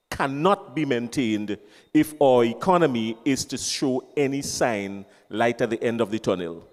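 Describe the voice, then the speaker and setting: A man asking a panel a long, earnest question at a town hall meeting, driving his points home with repetition. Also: mid-range voice. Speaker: audience member asking a question. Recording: reverberant hall.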